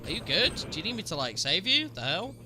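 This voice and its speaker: A man's voice: sits high, big pitch swings, fast, background noise. A man with a cold and a sniffly voice, chatting casually with his stream viewers while answering game trivia aloud. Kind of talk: casual live-stream commentary.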